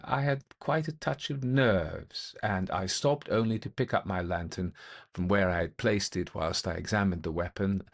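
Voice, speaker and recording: Low-voiced man as a formal gentleman ghost-story narrator. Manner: formal, deliberate, old-fashioned phrasing. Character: male gentleman investigator recounting an eerie experience, methodical, intent.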